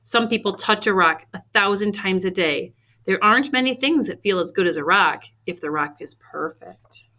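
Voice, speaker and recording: A woman reading a picture book aloud to children expressively, with deliberate emphasis on key phrases. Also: medium pitch, lively intonation, sharp tone. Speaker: read-aloud storyteller for children. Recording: faint background noise.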